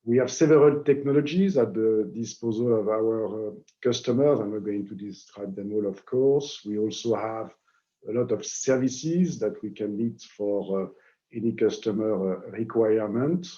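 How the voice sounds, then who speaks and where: French man presenting over a call; low-pitched, dark tone, halting delivery with many fillers, muffled audio. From France. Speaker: guest presenter. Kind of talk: webinar presentation. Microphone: video call. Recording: dull lo-fi online call audio.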